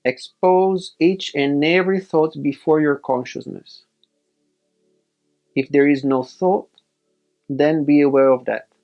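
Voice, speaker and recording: A man leading a guided meditation as a teacher, giving measured instructions in short, separated phrases. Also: fairly high pitch, steady tone, muffled recording, faint music behind.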